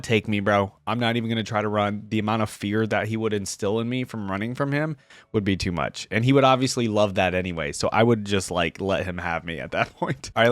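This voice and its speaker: A man's voice: mid-range voice, no echo, clean studio sound. A man hosting a reaction video, talking casually with informal, exclamatory asides.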